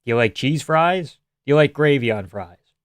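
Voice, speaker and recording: A young man from Canada streams live, riffing on viewer comments in a loose, sarcastic way with plenty of swearing. His voice comes through smooth and clear on a close mic in a dry, dead room. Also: mid-range voice, animated, moderate pace, no room sound. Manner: casual, sarcastic, swears freely.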